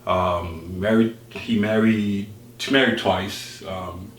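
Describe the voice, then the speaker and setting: Young man from Haiti, very low voice, casual interview guest, informal explanations, echoey room. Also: steady tone, slow, fairly bright tone. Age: young adult. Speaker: podcast guest. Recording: echoey room, distant mic, background noise.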